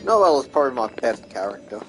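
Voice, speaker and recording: A woman's voice: a bass voice, sing-song, fast talker, low-quality recording. A woman streaming a video game, giving casual, offhand commentary as she plays. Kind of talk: casual live gameplay commentary. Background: faint game music.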